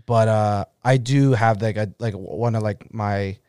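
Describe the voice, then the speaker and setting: A man in his twenties chatting casually and rambling, filling his talk with "like" and laughing loudly. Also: lowish voice, fairly even intonation, a little slow, clean tone, no echo, clean studio sound. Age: 20s. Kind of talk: casual chat.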